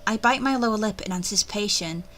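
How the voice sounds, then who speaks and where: A woman reading a visual novel aloud in first person, animated and chatty, with offhand asides. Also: mid-range voice, fast, slight background noise.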